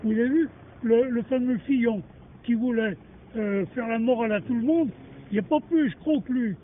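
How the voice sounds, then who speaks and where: An older man with a strikingly high voice speaks bluntly and indignantly on the street. The sound is thin and phone-like, with traffic hum underneath. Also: moderate pace. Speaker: passer-by interviewed on the street. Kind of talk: street interview. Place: outdoors by a street car park. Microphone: handheld mic held by interviewer.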